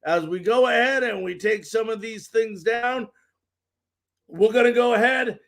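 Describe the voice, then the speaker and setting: A man with a noticeably high voice hosts a live sports talk show. He delivers his lines emphatically, in the style of an announcer, addressing viewers and guests directly. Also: measured pace.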